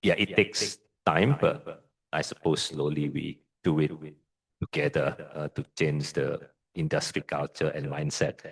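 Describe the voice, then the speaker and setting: A man with an Indonesian accent, a guest lecturer answering audience questions in a measured, hesitant way with frequent fillers and restarts. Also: a bass voice, fairly even intonation, vocal fry, breathy edge, rough recording.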